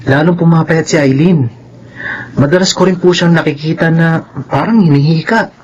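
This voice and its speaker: A man playing a caring, protective young Filipino brother and respectful son in a radio drama, earnest and gentle. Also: on the high side, moderate pace, husky. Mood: hesitant, worried. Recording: dull lo-fi radio drama track.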